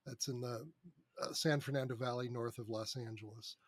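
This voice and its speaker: A man's voice: medium pitch, steady tone, normal speed, husky, no room sound. A man being interviewed as a podcast guest, speaking in a casual, joking, conversational way. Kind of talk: casual interview chat, storytelling.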